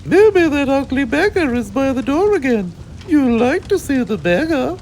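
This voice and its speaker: Man putting on a high falsetto, snobbish storybook mummy. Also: very animated, moderate pace, darkish tone, slight background noise, full character voice, low-quality recording. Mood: airy, snobbish. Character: posh prissy mother in a comic bedtime story.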